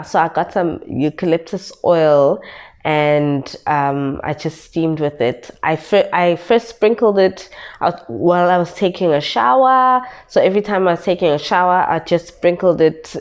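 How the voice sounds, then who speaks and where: A woman's voice: deep voice, a little slow, smooth. Congested young woman from South Africa. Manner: repetitive, emphatic retelling. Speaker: vlogger. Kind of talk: casual vlog storytelling.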